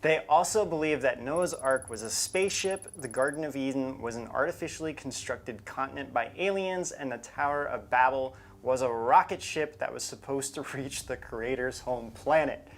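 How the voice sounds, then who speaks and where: A man's voice: mid-range voice, normal speed, few pauses. A man co-hosting a show, narrating scripted material in an emphatic, conversational storytelling way. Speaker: show co-host.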